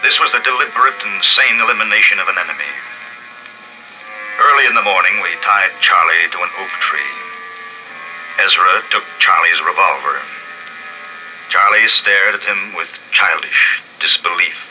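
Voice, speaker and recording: A man narrating a story in a slow, grave, measured way, recorded in a basement. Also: low voice, sharp tone, a little hiss or hum, low-quality recording. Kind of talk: dramatic audiobook narration.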